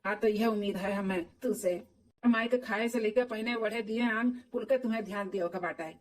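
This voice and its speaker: A woman's voice: medium pitch, fairly even intonation, brisk, far from the mic, lightly acted. A woman voicing an earnest, dutiful stepson in an amateur cartoon, explaining and pleading with an Awadhi accent. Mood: earnest instructing. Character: earnest, dutiful adult stepson and new husband.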